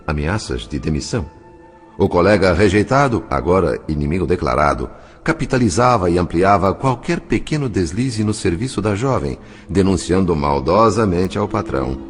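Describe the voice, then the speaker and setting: A man narrating a scripted audio drama in a formal, solemn, literary manner. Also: low-pitched. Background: music.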